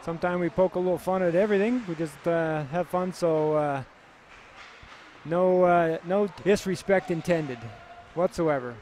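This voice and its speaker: A Canadian man calls a youth hockey game in a relaxed, chatty way, his voice sitting a little high. His commentary is loose and full of filler words. Faint background noise runs under the recording. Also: normal speed. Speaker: play-by-play commentator.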